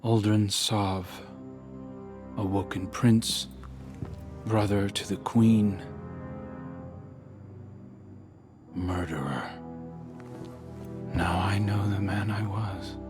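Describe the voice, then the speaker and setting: A troubled, earnest warrior from a video game. The voice sits low and moves slowly, grave and halting, as if weighed down by a dark past. Music plays underneath. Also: male voice, steady tone. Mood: solemn, wounded. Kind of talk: video game cutscene acting.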